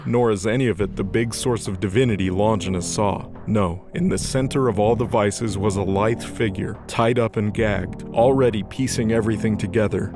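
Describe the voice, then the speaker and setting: Man, story narrator, scripted delivery, lowish pitch, faint music behind.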